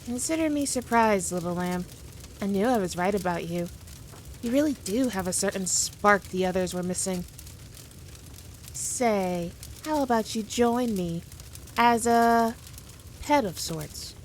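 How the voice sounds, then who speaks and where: A woman acts out a proud, playful demon queen in an audio roleplay. She speaks straight to the listener with condescending, teasing authority, at a middling female pitch, over faint background noise. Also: animated, unhurried, long pauses, close to an everyday voice. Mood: amused, teasing. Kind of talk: scripted roleplay monologue addressed to the listener.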